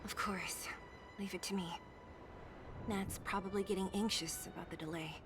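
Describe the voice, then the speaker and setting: A woman's voice: mid-range voice, fairly even intonation, normal speed, lightly acted. An actress playing a tough, loyal young woman in a video game drama, terse and matter-of-fact, with music behind her. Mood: resolved, quiet.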